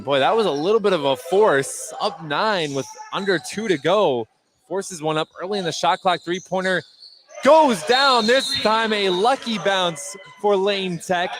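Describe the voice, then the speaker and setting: A man with a fairly high voice announces a basketball game live on radio. His delivery is built to climb on big plays. There is gym noise behind him. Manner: rises to shouts on big plays. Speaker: play-by-play announcer. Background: basketball game in a gym, bouncing balls.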